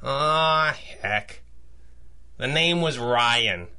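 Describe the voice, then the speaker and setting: An actor playing a guarded working-class delivery clerk, hesitant and colloquial, in an old-style radio drama. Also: male voice, medium pitch, lively intonation, very slow, pushed and bright, clean tone, heavily acted. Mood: hesitant, helpful.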